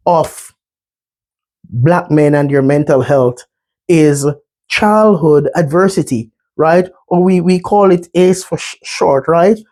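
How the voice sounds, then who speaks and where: A man's voice: fairly high pitch, a little mellow. A man with a Jamaican accent giving an earnest slide presentation on mental health, often checking in with "right?".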